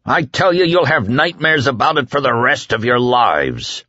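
A man voicing a terrified but defiant burly male cook who shouts and pleads with a crowd. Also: mid-range voice, big pitch swings, normal speed, dry recording, highs cut off, pitched above the performer's own voice. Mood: pleading.

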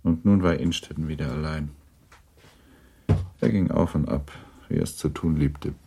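Guy narrating radio drama, super deep n creaky, sober measured storytelling. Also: steady tone, dark tone.

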